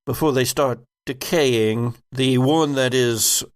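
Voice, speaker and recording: A man voicing a fastidious lead scientist with a formal, deliberate manner in a tabletop game. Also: medium pitch, clean tone, lightly acted. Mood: concerned.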